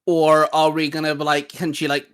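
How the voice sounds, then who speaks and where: A man's voice: fairly high pitch, monotone, normal speed, a bit bright, clean tone. A man hosting a hobby video, talking informally as he presents and chats. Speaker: host. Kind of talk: casual scripted intro and chat.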